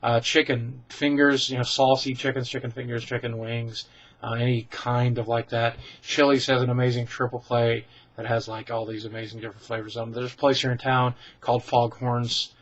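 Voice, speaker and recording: A man's voice: mid-range voice, little pitch movement, moderate pace, fairly bright tone. Candid, rambling man on dull webcam audio. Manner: chatty, candid and self-deprecating, with many ums and restarts. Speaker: vlogger answering viewer questions. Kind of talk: casual rambling Q and A.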